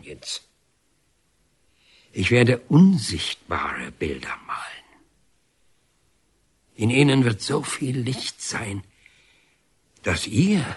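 Defiant painter with a bright, rough-edged voice. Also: male voice, lowish voice, full character voice. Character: defiant, bitter male painter, banned by the regime. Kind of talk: German radio drama acting.

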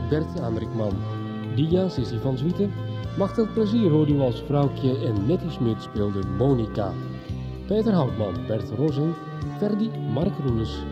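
A man announcing the end of an episode and reading out the cast credits in a formal manner. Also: medium pitch. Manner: formal, even-toned announcing. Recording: dull lo-fi sound over music.

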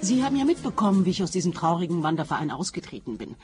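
An actress playing a chatty, frank woman with a wry manner and a husky voice in a radio play. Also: on the low side, big pitch swings, racing, over music. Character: chatty, frank, wry female reluctant hiker.

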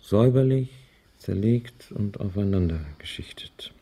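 Speculating husband, low gravelly voice, mellow, assertive, conversational, radio crime drama. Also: male voice, measured pace. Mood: grimly certain. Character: speculating, confident husband puzzling over grim clues.

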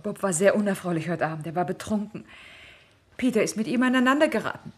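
An actress playing a proud, warm young woman in a radio drama, speaking frankly and with spirit. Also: medium pitch, expressive, fast talker, breathy edge. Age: young adult. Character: proud, warm young woman Alda, old man's daughter.

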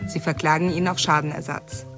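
A woman's voice: deep voice, little pitch movement, a little fast. A woman narrating a radio drama epilogue in a formal, scripted style. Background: music.

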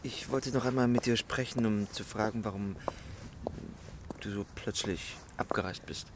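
Actor as a low-voiced, subdued jilted lover. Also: male voice, normal speed, a little hiss or hum, close to an everyday voice. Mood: subdued, searching. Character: subdued jilted male lover seeking answers. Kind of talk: radio play dialogue.

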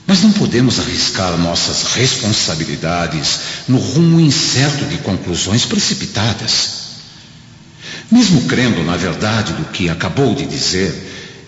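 Echoing Roman nobleman, lively intonation. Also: lowish voice, heavily acted. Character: pragmatic Roman nobleman, loyal male friend, cautious adviser. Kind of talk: radio drama acting. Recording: echoey hall reverb, dull audio.

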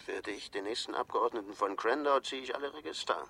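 An actor playing an obliging, chummy tradesman in a German radio drama, with a husky, sharp-edged voice. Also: mid-range voice, fast talker, heavily acted. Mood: obliging, jovial. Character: obliging, chummy tradesman backing a rebel candidate.

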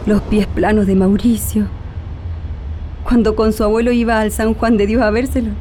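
A woman's voice: medium pitch, little pitch movement, normal speed, warm tone, a little hiss or hum, close to an everyday voice. An actress playing an anxious, tender middle-aged mother with a Chilean accent in a radio drama. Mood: tender, nostalgic. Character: anxious, grieving Chilean mother lost in memories.

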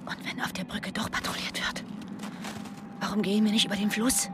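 A woman's voice: mid-range voice, flat delivery, brisk, background noise, lightly acted. An actress playing a sceptical, determined woman on the run in a radio drama, serious and direct. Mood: anxious.

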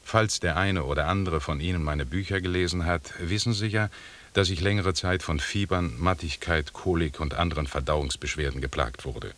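Ein Schauspieler spielt in einem Hörspiel einen selbstsicheren, pompösen englischen Expeditionsleiter, förmlich und belehrend. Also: male voice, deep voice, normal speed, a bit bright. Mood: grandly formal. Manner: pompous, lecturing. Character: self-assured, pompous English expedition leader, a knighted gentleman. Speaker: actor in a radio drama. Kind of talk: formal radio drama dialogue.

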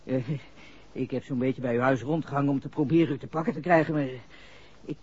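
Casting: actor for nervous young suspect in a 1960s radio serial, markedly raspy, stammering and slangy, dulled old recording. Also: male voice, mid-range voice, steady tone, quick pace, a little mellow, lightly acted. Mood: anxious, pleading. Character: nervous, shifty young man under police suspicion. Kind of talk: 1960s Dutch radio drama acting. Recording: muffled vintage radio recording.

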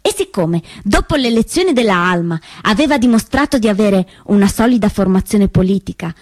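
An actress playing a spirited, cheeky teenage partisan girl, telling her story in an animated, dramatic stage monologue. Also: mid-range voice, brisk, natural voice. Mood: proud. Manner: expressive, dramatic phrasing, shifts between voices.

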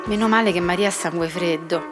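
A woman's voice: on the low side, quick pace, natural voice. Wistful woman over guitar music acted. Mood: weary, wistful. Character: weary, wistful woman, lovelorn peacemaker at a party. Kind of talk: radio drama inner monologue.

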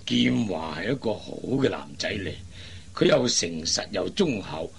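A man's voice: on the low side, sing-song, measured pace, vocal fry, slightly rough, exaggerated voice. A man playing a puzzled, kindly middle-aged father in a Cantonese radio drama, hesitant and exclamatory. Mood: surprised, pleased. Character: puzzled, fretful, kindly father of grown daughters.

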